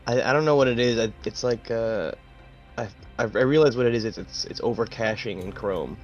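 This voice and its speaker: A man's voice: medium pitch, a little fast, muffled recording, soft background music. A man playing a tabletop roleplaying game, chatting casually and describing his moves in hesitant phrases.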